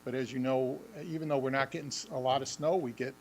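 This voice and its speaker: A man presenting a facilities update at a school board meeting, halting with many ums and restarts, and losing his voice. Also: medium pitch, steady tone, darkish tone, slight background noise. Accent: Western New York. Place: school administration meeting room.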